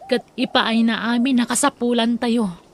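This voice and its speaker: An actress playing an earnest, respectful young daughter in an Ilocano radio drama, emotive, with an Ilocano accent. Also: female voice, on the high side, steady tone, normal speed, slight background noise, natural voice. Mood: earnest, concerned.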